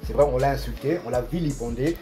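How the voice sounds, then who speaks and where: A man with a Congolese French accent talking football as a pundit in an animated, casual way, emphatic and repeating his phrases. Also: mid-range voice, fast, soft background music. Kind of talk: animated casual sports discussion.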